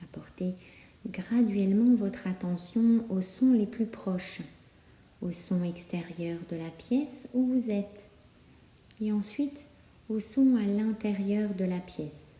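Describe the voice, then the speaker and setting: A woman guiding a yoga nidra relaxation, speaking calmly and soothingly with many pauses. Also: mid-range voice. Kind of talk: guided relaxation meditation. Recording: roomy, echoing sound.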